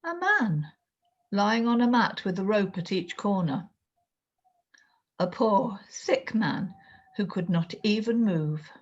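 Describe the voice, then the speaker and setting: A woman reading a children's story aloud in an expressive storytelling style. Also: mid-range voice, takes it slowly, long pauses, dull audio. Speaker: storyteller, reader.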